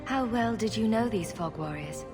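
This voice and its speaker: Female game hero, gentle and curious, mid pitch, played by a woman; reverby, loud music bed. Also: lively intonation, moderate pace, natural voice. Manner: gentle, probing questions. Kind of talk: video game cutscene dialogue.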